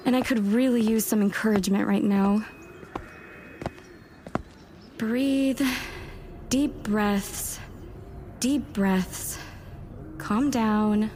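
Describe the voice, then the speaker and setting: A woman's voice: a little high, slight background noise. A woman playing an eager, self-doubting warlock scholar in an audio drama, chatty and wry as she narrates her own thoughts. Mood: anxious. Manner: wry, chatty inner monologue with muttered self-talk. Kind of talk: first-person audio drama narration and dialogue.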